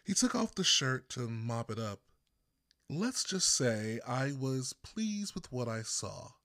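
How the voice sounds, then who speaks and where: A man from Texas reading his own first-person story as an audiobook narrator, with deliberate phrasing and pauses. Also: medium pitch, sing-song, a bit bright, no room sound, clean studio sound. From Texas, United States.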